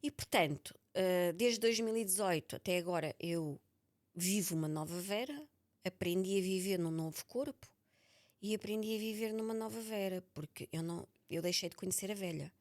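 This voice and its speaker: A woman's voice: medium pitch, normal speed, a little mellow. A woman with a European Portuguese accent speaking candidly and reflectively as the guest in a personal interview, often repeating words for emphasis. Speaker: podcast author being interviewed.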